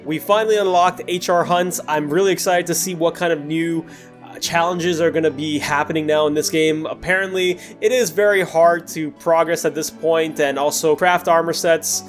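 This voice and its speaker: A man with a fairly high-set voice gives casual video game commentary as the host. He talks in a chatty, reflective way, often hesitating partway through a thought. Music plays clearly behind him. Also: fairly even intonation, normal speed. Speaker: host of a gameplay series. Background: music under the voice.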